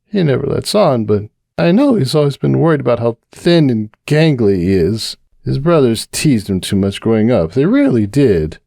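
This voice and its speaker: A man voicing a tipsy, anxious young mare pony, high and wavering, on a dry close recording. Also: very animated, moderate pace, gravelly, mellow, no echo. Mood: drunk, anxious.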